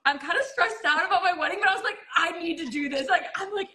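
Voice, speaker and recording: Bubbly young female host, high and bright, laughs easily. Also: quick pace. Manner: bubbly, laughs often, says "like" a lot. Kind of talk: casual live-stream chat.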